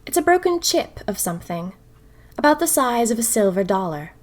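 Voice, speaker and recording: Wistful young 1920s woman diarist, acted by a woman. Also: mid-range voice. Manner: wistful, confiding, wryly dramatic. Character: dreamy young 1920s woman, romantic, nervous, self-dramatizing diarist. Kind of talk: first-person diary audio fiction.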